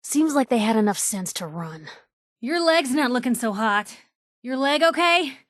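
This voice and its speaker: A woman's voice: on the high side, expressive, unhurried, a bit bright, no room sound. Plucky young female reporter, video game companion, wry, teasing, conversational, very dry close sound. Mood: watchful. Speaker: companion character voice actor.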